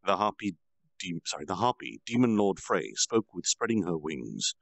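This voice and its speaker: Man reading a story aloud, gravelly voice, lively pitch, earnest, dry close sound. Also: normal speed, no room sound. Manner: earnest, apologetic asides. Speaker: streamer reading a novel aloud.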